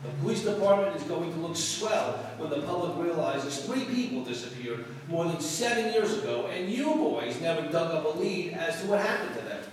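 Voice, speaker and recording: Man playing a cocky higher-voiced newshound, echoey hall. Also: lively intonation, a little fast, hardly any pauses, natural voice. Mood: cocky, needling. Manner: cocky, wisecracking. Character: brash, persistent male newspaper reporter. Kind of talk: 1940s radio acting. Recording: distant echoey hall, faint noise.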